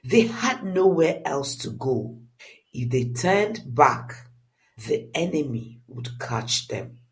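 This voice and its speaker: A storyteller with a mid-pitched voice delivers a dramatic scripted narrative. The pace is slow and deliberate, and the intonation swings widely and expressively. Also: sing-song.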